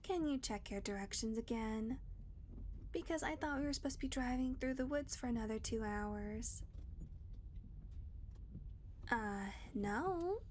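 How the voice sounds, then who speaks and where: A woman voicing a blunt, foul-mouthed female vampire in an audio roleplay, talking sarcastically and dropping into soft murmurs. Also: fairly high pitch, expressive, a little slow, long pauses, slight background noise. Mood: exasperated.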